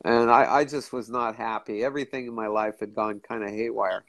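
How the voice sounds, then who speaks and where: Older male host on a video call, stuffy-nosed, rambling and halting. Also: fairly low pitch, steady tone, a bit bright, dry recording. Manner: rambling, halting, many restarts. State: stuffy nose from a cold. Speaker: show host. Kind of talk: casual video call chat. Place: indoor room at home.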